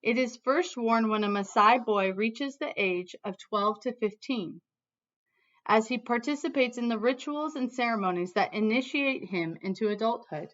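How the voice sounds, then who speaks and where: A woman teacher reading a storybook aloud to students in an animated, emphatic way, with casual asides. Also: a little high, moderate pace, dull audio.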